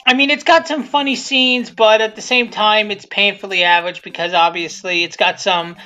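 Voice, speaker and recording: A person giving casual, unscripted commentary on their own abridged series, rambling haltingly and repeating phrases. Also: mid-range voice, fairly even intonation, sharp tone. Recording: music behind the voice. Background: cartoon episode audio with music.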